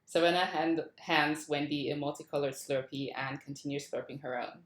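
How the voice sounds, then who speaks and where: A woman's voice: deep voice, level tone, normal speed. A woman hosting a table read and reading stage directions from a script. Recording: roomy, echoey sound.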